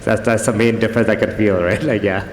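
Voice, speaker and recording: A man from Thailand speaks on a conference panel, pausing as he hunts for his words. His voice sits slightly low, with a long hall reverb and faint ambience behind it. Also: steady tone, a little hiss or hum. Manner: halting, searching for words.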